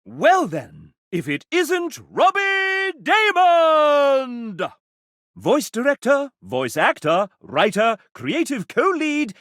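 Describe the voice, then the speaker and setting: An actor playing a flamboyant, formal dating game show host in a video game, with a theatrical, courtly and exaggerated delivery. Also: male voice, extremely high pitch, fairly bright tone, dry recording, studio quality, full character voice. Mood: grand announcing.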